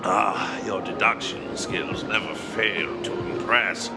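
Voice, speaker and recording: Gravelly sarcastic game guide. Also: male voice, on the low side, steady tone, takes it slowly. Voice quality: dry and sarcastic. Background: game music.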